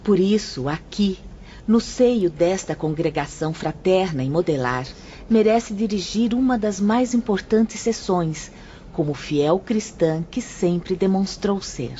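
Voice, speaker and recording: A woman as a patient spirit guide, calm explainer, muffled faint hiss. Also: medium pitch, a little slow, natural voice. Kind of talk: audio drama acting. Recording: muffled lo-fi audio with faint noise.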